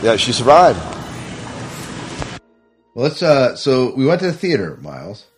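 A man's voice: mid-range voice, wildly swooping pitch, a little slow, husky, soft background music. A man co-hosting a podcast in casual, unscripted fan chat, often repeating his words and phrases. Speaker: podcast co-host.

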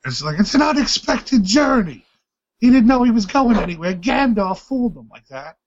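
Hoarse man chatting on a podcast. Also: high voice, very animated, normal speed. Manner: rambling, wry. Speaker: podcast guest. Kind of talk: casual comedy podcast chat. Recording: slightly dull lo-fi audio.

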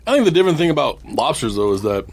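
Quick-talking man in casual chat. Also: on the high side, very animated.

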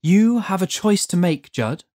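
Narrator doing a soft delicate angel lady, calm and measured, audiobook, dry sound. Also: medium pitch, no echo, voice pushed up, natural voice. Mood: serene. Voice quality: delicate, glittery. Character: serene, delicate female angel of the future.

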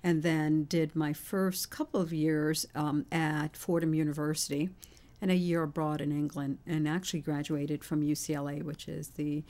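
A middle-aged woman from Haiti and New York, answering interview questions in a measured, explanatory, conversational way. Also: sits low, level tone, dark tone. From born in Haiti, raised in Queens, New York. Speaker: interview guest, physician and university president.

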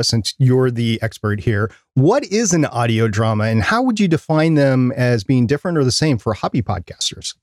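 A man's voice: medium pitch, no echo, studio quality. A man co-hosting a podcast, putting questions to a guest in a conversational interviewing style.

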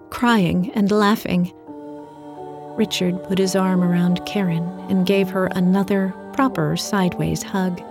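A woman's voice: mid-range voice, darkish tone. A woman reading a short story as a scripted fiction narration.

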